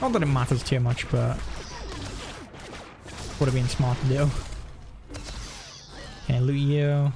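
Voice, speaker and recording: A man's voice: mid-range voice, level tone, unhurried, pauses often. A man streaming a video game with casual play-by-play, chatting and thinking aloud. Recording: clear game noise behind voice. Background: video game sounds.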